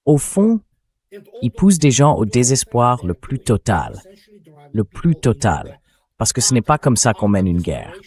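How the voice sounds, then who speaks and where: A man's voice: mid-range voice, very animated, unhurried, a little mellow. A man reading a translated interview as a guest commentator, speaking bluntly and emphatically about military affairs.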